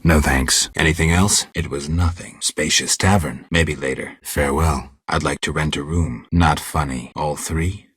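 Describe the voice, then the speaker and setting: A world-weary male monster hunter from a fantasy video game. The voice is extremely deep and raspy, recorded dry and close. He speaks in terse, deadpan phrases, gruff and stoic throughout. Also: measured pace, few pauses, no echo, full character voice. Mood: terse, guarded. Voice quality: gravelly, husky.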